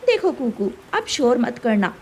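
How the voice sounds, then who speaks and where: A woman's voice: a little high, very animated, a little slow, slight background noise, low-quality recording. A woman voicing a bossy, threatening mother crow with a curt, scolding manner in a children's cartoon. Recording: loud rain and thunder behind.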